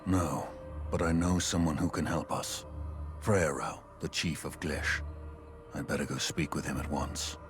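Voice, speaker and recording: Grave, extremely deep-voiced fantasy ally. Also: male voice, fairly even intonation, unhurried, over music. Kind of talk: dramatic game voice acting.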